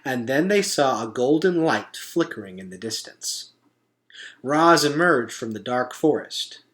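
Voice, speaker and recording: A man reading a children's story aloud as an expressive narrator. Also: mid-range voice, a little slow.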